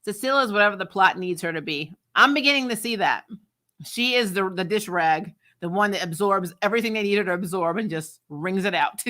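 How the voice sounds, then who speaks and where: A woman hosting a casual TV review chat, talking in an animated, sarcastic, exasperated way with blunt opinions and casual swearing. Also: medium pitch, fairly quick. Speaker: host of a TV show review channel. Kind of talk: casual spoiler recap chat, reading and answering viewer comments.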